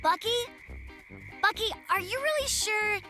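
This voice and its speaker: A woman's voice: extremely high pitch, expressive, unhurried, bright, forceful tone, faint music behind, exaggerated voice. A woman voicing a timid, worrying young boy bear cub in a children's cartoon, hesitant and earnest. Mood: nervous.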